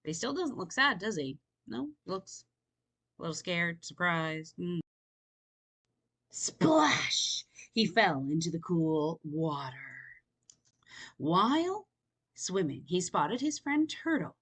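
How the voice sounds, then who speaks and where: Animated, unhurried woman reading to children. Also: fairly low pitch, breathy edge. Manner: expressive, inviting, wondering aloud. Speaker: children's storytime reader. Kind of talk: read-aloud picture book with chatty asides to young listeners.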